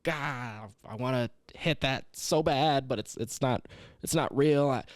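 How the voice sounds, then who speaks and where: A man's voice: mid-range voice, unhurried, dry recording, studio quality. Stammering, cursing young man. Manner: profane, stammering, self-deprecating. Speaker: podcast co-host. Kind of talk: casual comedy podcast chat.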